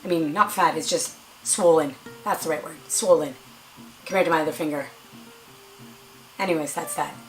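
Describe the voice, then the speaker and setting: A young woman with a raspy voice, chatting casually to camera as a vlogger and filling her stories with "like". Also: low voice, normal speed, long pauses, soft background music, rough recording. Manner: chatty, lots of "like" and quoted dialogue.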